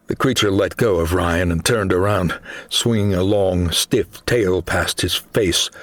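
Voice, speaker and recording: A man narrating an action thriller audiobook in a measured, deliberate and dramatic way. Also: fairly low pitch, dry recording.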